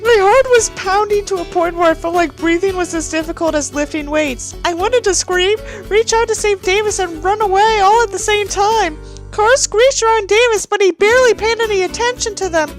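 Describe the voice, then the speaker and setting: A woman's voice: very high voice, moderate pace, faint music behind. A woman reading a book aloud in a mocking, dramatic way, with incredulous, sweary asides. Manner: dramatic, incredulous, expressive reactions. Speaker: reader and commentator of a badly written book.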